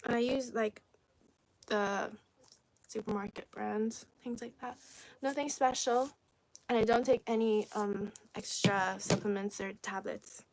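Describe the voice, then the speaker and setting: A woman's voice: medium pitch, steady tone, measured pace, no echo, poor audio. Chatty woman, native Cantonese speaker. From China. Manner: chatty, often drops to soft half-whispered asides. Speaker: livestream host. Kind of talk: casual livestream chat, reading viewer comments.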